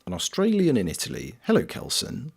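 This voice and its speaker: A man's voice: medium pitch, huge pitch swings, fairly quick, gravelly, breathy edge. A British man streaming a game, chatting casually and reading viewers' comments aloud, greeting them by name. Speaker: streamer and voice actor.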